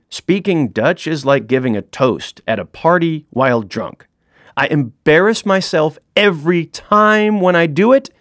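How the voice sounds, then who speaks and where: Middle-aged man, dry close studio read, animated swoops in pitch, wry self-deprecating comic narrator. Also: dry recording. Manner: wry, self-mocking storyteller. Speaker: author reading his own audiobook.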